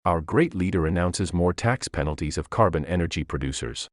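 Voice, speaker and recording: Deep, formal male news announcer, acted out. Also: expressive, a little fast, no room sound, clean studio sound. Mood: grave, slanted. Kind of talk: dramatized news broadcast.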